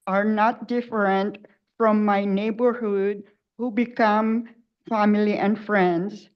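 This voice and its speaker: An older woman, a senior tenant, giving a formal prepared public comment at a council meeting. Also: medium pitch. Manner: formal, careful, reading a statement. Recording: muffled lo-fi meeting audio.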